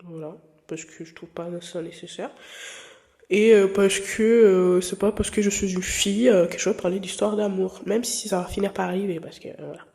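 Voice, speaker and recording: A woman's voice: medium pitch, steady tone, moderate pace, gravelly, dark tone. A woman in her twenties, a non-native French speaker, hosting her podcast in a candid, rambling chat full of fillers and restarts. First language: not French. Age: 20s. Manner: candid and playful. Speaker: podcast host. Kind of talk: casual personal chat.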